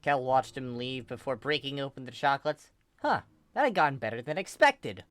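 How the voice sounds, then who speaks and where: A narrator reading fan fiction aloud in an expressive storytelling style. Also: mid-range voice, moderate pace, no room sound. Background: background music.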